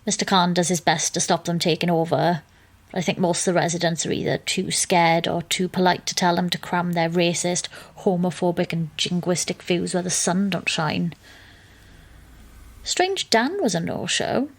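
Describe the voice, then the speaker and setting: A woman's voice: lowish voice, normal speed. An actress playing an exasperated young woman who narrates an audio drama in a wry, sardonic manner.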